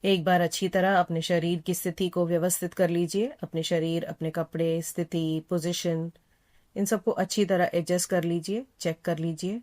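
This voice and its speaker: A woman with a slightly low voice guides a relaxation practice as a yoga nidra instructor. She speaks calmly and without hurry, in a soothing way. Instructions come in short, repeated phrases. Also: moderate pace. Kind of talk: slow guided meditation instruction.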